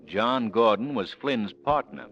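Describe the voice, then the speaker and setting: An actor playing an urbane, wry and confident amateur sleuth in old radio-drama style. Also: male voice, medium pitch, slow pace, a bit bright. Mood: musing, explanatory. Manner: sly, musing, suave. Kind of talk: 1940s radio acting. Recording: muffled old radio recording.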